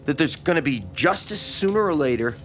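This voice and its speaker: A man's voice: on the high side, animated, a little fast, fairly bright tone, faint music behind. A man playing a lonely, starstruck small-town fan in an audio drama, speaking hesitantly and deferentially. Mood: earnest, admiring. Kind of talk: naturalistic audio drama dialogue.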